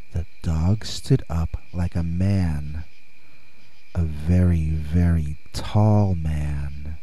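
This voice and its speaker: A man with a very dark, mellow low voice tells a scary story. His delivery is husky and unhurried, built on suspense and dramatic pauses. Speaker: host and storyteller of a scary stories show. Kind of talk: scripted first-person scary story narration.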